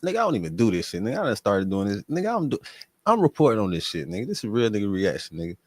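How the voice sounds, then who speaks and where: A man's voice: fairly low pitch, wildly swooping pitch, rapid-fire, a little mellow, no echo. A man doing casual, profane, slangy reaction commentary in a blunt, repetitive way.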